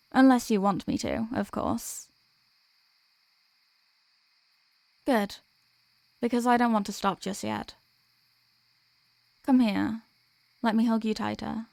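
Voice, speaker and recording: A woman playing a gentle, protective sleep paralysis demon in an ASMR comfort roleplay, speaking in a soothing, tender, reassuring way. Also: mid-range voice, creaky, dry recording. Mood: tender, caring.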